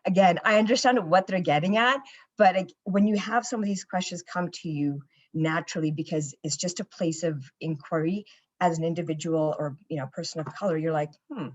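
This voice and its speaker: A young Canadian woman with a low, grounded voice speaks earnestly on a panel. She reflects on her own experience as she talks, leaning on the odd "you know," and her Canadian accent comes through a somewhat muffled, lo-fi video-call sound. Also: a little fast. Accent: Canadian English.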